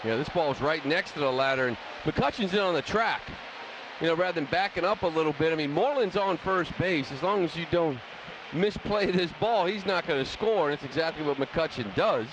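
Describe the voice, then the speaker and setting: A man's voice: on the high side, animated, brisk, noisy background. A man giving informal, conversational color analysis on a live radio baseball broadcast. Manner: informal, explains plays in everyday talk. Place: broadcast booth at an outdoor ballpark. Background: loud ballpark ambience.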